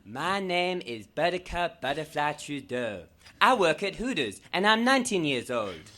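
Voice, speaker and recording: A man's voice: fairly high pitch, very animated, a little slow, pushed and bright, heavily acted. A man performing a comedic reading as a melodramatic, gushing nineteen-year-old fangirl telling her own story with exclaiming emphasis. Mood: chirpy, earnest.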